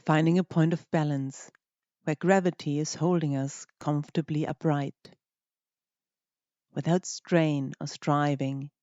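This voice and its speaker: Gentle meditation teacher, really mellow voice, slow broken phrases, kinda dull dry sound. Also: medium pitch, fairly even intonation, no echo. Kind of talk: guided meditation narration.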